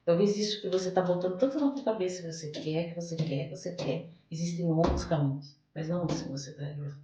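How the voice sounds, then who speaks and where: A woman's voice: on the low side, quick pace, dull audio, noisy background. A Brazilian woman explaining things as a card reader and interview guest, repeating phrases for emphasis. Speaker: podcast guest, oracle card reader and holistic therapist. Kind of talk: card reading and interview answers. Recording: echoey room with music behind.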